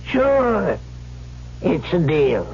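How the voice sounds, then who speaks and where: Slow, gruff old man. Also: sits high, huge pitch swings, darkish tone, full character voice. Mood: weary, skeptical. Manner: curt, drawn-out, skeptical. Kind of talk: 1970s radio drama acting. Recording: dull lo-fi radio broadcast, faint hum.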